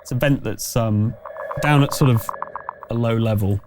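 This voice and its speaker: A man's voice: mid-range voice, moderate pace, heavy background noise. A man acting as game master, narrating a tabletop game in a conversational, slightly hesitant way.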